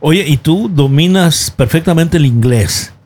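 A man hosting a radio show, his voice sitting a little high for a man. He asks questions in a familiar way, starting with "oye" and tacking on "¿eh?". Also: animated, a little slow, a little mellow. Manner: friendly questions with tag "¿eh?" and "oye". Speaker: radio host. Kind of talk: radio interview. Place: radio studio.

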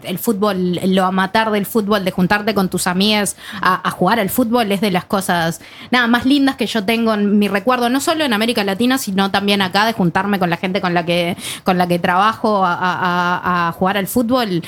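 A woman's voice: medium pitch, moderate pace, fairly bright tone. A woman, chatting casually and often restarting and repeating words. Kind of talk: casual chat.

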